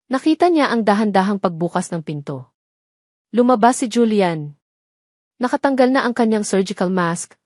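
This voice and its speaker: A woman narrating a scripted audio drama in a solemn, measured way. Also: mid-range voice, a little mellow, dry recording, studio quality. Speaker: story narrator.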